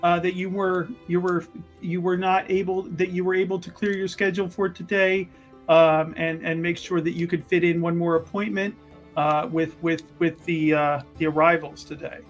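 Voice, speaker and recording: Guy doing a jittery suck-up assistant for a tabletop game, high voice, stammers, super deferential. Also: flat delivery, normal speed, faint music behind, close to an everyday voice. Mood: anxious, stalling. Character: nervous, fawning male assistant to a dean.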